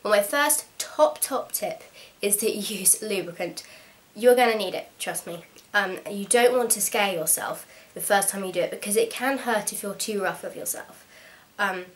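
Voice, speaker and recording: Young woman, slightly hoarse from a cold, frank and chatty vlogger, mid-range voice. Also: medium pitch, moderate pace, hardly any pauses. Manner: chatty, frank and practical, with frequent ums. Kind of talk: casual instructional vlog.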